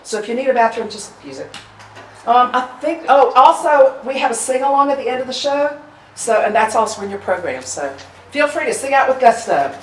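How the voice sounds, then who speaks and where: A woman's voice: on the high side, expressive, fairly quick. A woman giving an informal, chatty pre-show welcome to a live audience, with joking asides as presenter. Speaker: presenter introducing a staged reading. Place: indoor arts venue, before a live audience. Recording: roomy, distant live-event recording with audience noise.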